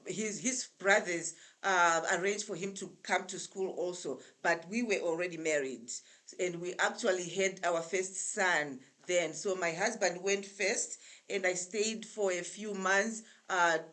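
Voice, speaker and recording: A woman in her sixties with a Zimbabwean accent speaks in a fairly low voice. She tells her story in a relaxed, chatty way, pausing often to gather her thoughts. She is heard over a remote call. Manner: chatty, unhurried storyteller with frequent pauses. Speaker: guest. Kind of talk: casual storytelling interview.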